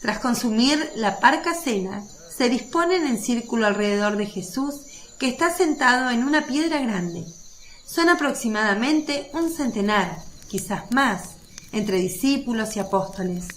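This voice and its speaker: A woman's voice: fairly high pitch, lively intonation, measured pace, a bit bright. A woman narrating a religious radio drama in a descriptive, literary reading style. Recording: faint background noise of birds and crickets.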